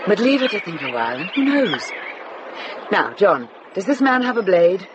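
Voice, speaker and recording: An actress playing a commanding older nun in a radio drama, brisk and dryly wry, kindly underneath. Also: female voice, mid-range voice, big pitch swings, lo-fi sound, lightly acted. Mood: calm, firm. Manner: brisk, dry, authoritative. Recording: noisy ambience behind dialogue.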